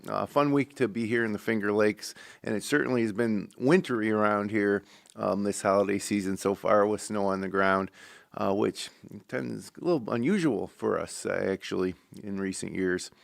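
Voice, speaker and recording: Gravelly-voiced middle-aged male talk show host. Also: on the low side, no echo. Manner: relaxed, conversational with many fillers. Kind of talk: local talk show hosting with ad reads. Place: studio.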